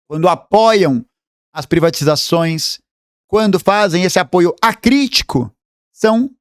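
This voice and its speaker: Fast-talking high-voiced Brazilian man. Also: no room sound, clean studio sound. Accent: Brazilian Portuguese. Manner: argumentative, fast lecturing delivery. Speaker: host commenting on political video clips. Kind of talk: political commentary.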